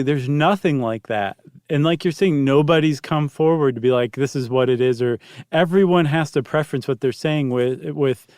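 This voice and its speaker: A man's voice: a little high, a little mellow, dry recording, studio quality. A man co-hosting a podcast, explaining things in a casual, chatty and descriptive way. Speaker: podcast co-host.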